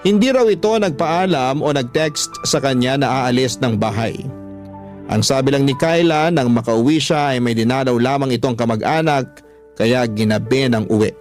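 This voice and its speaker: Male radio storyteller, mid-pitched, dramatic first-person letter reading with music underneath. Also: moderate pace, a little mellow.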